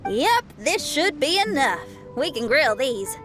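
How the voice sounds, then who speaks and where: An upbeat young rocker girl in a video game. Her voice is high and very animated, with a folksy, easygoing cheer. Faint music sits behind her. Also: takes it slowly, full character voice. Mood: modest, friendly. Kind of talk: English video game voice acting.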